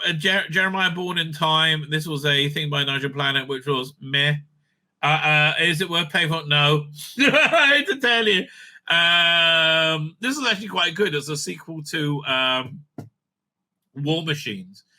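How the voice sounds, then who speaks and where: A man's voice: on the high side, bright, forceful tone. A man giving rambling, opinionated commentary as a video host, blunt and dismissive, often repeating himself and swearing. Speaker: YouTube host and reviewer.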